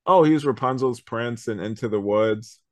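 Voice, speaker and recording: A man's voice: medium pitch, moderate pace, a little mellow, dry recording. A man hosting a podcast, chatting casually about a review and rambling as he thinks aloud. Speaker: podcast host.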